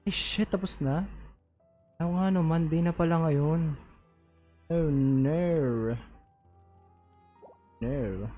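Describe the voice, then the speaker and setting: A man's voice: on the high side, takes it slowly, long pauses, warm tone, highs cut off, soft background music. A man with a Filipino accent chatting casually on a game livestream, often repeating words as he thinks aloud. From Philippines. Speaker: game streamer. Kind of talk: casual livestream gameplay chat, mixing Tagalog and English.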